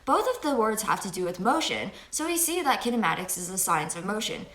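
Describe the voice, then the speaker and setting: A woman's voice: medium pitch, very animated, a little fast, fairly bright tone. A fifteen-year-old girl hosting an educational video, explaining physics in an upbeat, chatty, self-deprecating way while seated indoors in front of a fixed camera. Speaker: host. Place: indoor room with window blinds. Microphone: fixed camera at chest-up framing. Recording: echoey small room.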